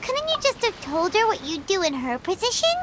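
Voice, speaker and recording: A woman voicing a tiny, excitable female fairy companion in a video game, talking in a squeaky voice about herself in the third person. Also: brisk, noisy background. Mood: puzzled.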